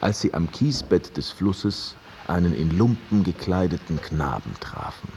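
A man's voice: sits low, expressive, a little slow, darkish tone, slight background noise. An actor in a radio drama playing a talkative, curious and teasing man who tells stories in a theatrical, ironic way. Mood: storytelling. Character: talkative, curious, teasing male host telling an old tale. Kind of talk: German radio drama, dialogue and storytelling.

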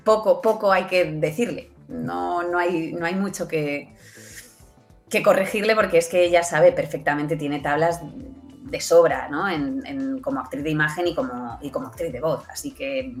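A woman's voice: low voice, moderate pace, soft background music. A woman answering as a guest in a conversational interview, in stop-start phrases dotted with checking tags. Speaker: interview guest, audio fiction producer.

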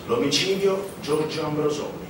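An actor playing a sardonic insider who narrates on stage in an emphatic, ironic, rhetorical way. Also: male voice, a little high, moderate pace, very noisy, natural voice. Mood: ironic, insinuating. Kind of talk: theatrical narration. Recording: echoey stage, distant mic, music behind.

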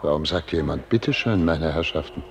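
An actor playing an imperious but easily shaken head of state in a German radio play, curt and commanding. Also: male voice, rumbling low, moderate pace. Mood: subdued, uneasy. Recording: roomy, echoing studio sound.

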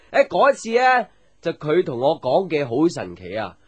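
A man's voice: fairly high pitch, wildly swooping pitch, measured pace, husky, full character voice. An actor playing a chatty, boyish young man with a Hong Kong Cantonese accent, telling stories playfully and confidingly in a radio drama. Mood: chatty, light. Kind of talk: radio drama acting, casual storytelling chat.

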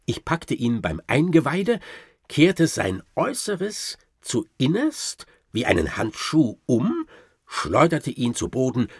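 An actor playing a boastful old-time baron, telling tall tales with ornate, dryly ironic theatrical expression in a radio play. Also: male voice, medium pitch, huge pitch swings, slow pace, slightly rough, no echo, studio quality, heavily acted. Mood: excited, emphatic. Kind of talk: theatrical first-person storytelling in a radio play.

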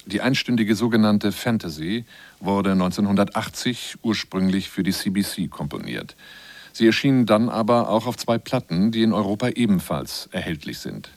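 A man's voice: on the low side, a little mellow, no room sound. A man reading scripted narration for a radio documentary feature.

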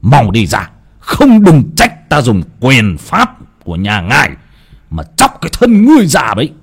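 A man voicing a stern, gruff spirit master in a horror story, scolding and commanding. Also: mid-range voice, wildly swooping pitch, unhurried, heavily acted. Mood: commanding, threatening. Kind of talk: dramatized horror story narration.

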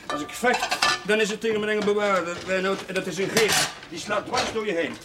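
High-voiced, hesitant chaplain played by a man. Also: fairly quick, close to an everyday voice. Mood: evasive, easygoing. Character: kindly, slightly awkward male village chaplain. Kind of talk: naturalistic television drama acting. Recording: noisy old TV soundtrack, clattering dishes.

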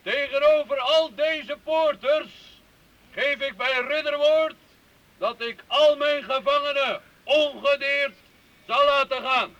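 An actor playing a proud, commanding medieval knight who declaims formally in a Dutch radio play. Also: male voice, extremely high pitch, steady tone, slow pace, bright, forceful tone, dry recording, exaggerated voice. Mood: formal, bargaining. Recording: dull lo-fi old radio recording.

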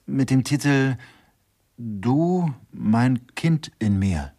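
An actor playing a gruff, baffled policeman in a radio crime play, grumbling in a very raspy voice. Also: mid-range voice, expressive, extremely slow, dark tone, heavily acted. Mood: puzzled. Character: gruff, baffled male policeman who hates modern art. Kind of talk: 1990s German radio play acting.

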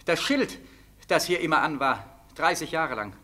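Plaintive homecoming soldier, bright tense voice. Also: male voice, a little high, lively intonation, normal speed. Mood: anxious. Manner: insistent, repetitive questioning. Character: homecoming soldier back from Siberia, bewildered and desperate. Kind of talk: 1940s German radio drama acting.

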